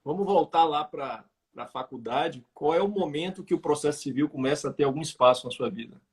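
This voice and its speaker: A man's voice: a little high, fairly quick, slightly rough. A man with a Brazilian accent hosting a casual interview, stammering and repeating the starts of his words as he frames questions. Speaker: interviewer, law lecturer.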